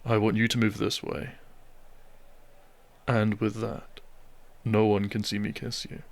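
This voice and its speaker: A man playing a devoted, tender doctor and newlywed groom in a romantic audio roleplay, speaking softly and earnestly to his beloved. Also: fairly low pitch, little pitch movement, measured pace, gravelly. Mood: tender, intimate.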